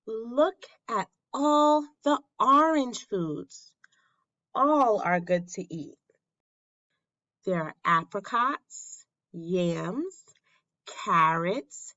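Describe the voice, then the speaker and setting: Slow-paced woman reading to young children. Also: mid-range voice, expressive, sharp tone, dull audio. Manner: deliberate, simple phrasing for young children, asking questions. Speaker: elementary school teacher reading aloud. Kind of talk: read-aloud of a children's picture book.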